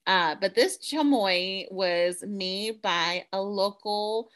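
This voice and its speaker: A woman co-hosts a relaxed podcast in an upbeat, talkative way. She slips easily between English and bits of Spanish as she goes. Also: medium pitch, slow. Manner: animated, chatty, code-switches into Spanish. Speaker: podcast co-host. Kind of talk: casual podcast chat. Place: Central Coast, California.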